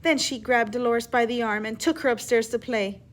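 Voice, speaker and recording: A woman reading a children's story aloud in an expressive, teacherly way, pausing to explain words and ask questions. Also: a little high. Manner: animated, emphatic reading with hushed asides.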